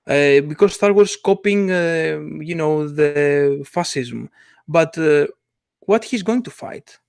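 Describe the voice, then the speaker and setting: A man with a somewhat high voice talks casually in a podcast. He speaks haltingly, pausing with fillers as he searches for words, and his voice sounds dry and close with no room echo. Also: a little mellow, clear, resonant tone. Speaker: podcast co-host.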